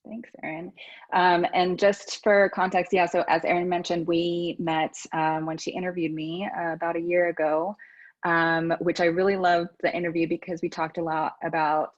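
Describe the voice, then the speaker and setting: Podcast host, Texan woman, low pitch, relaxed and chatty, lo-fi remote call sound. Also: moderate pace. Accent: Texas. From United States. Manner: relaxed, with frequent ums and restarts. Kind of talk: casual conversational interview. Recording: dull lo-fi audio.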